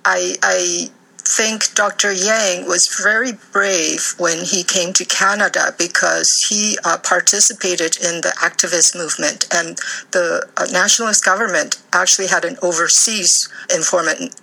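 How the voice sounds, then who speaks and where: Woman, from Taiwan, author guest, studio interview, gravelly, bright, measured with fillers. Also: fairly low pitch, fairly even intonation, rough recording. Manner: measured, with many ums and uhs. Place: radio studio.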